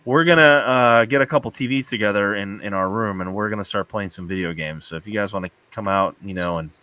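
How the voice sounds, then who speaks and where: Middle-aged male panelist, lowish pitch, bright forceful tone, casual talk. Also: fast talker, no echo. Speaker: podcast panelist. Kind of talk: casual chat.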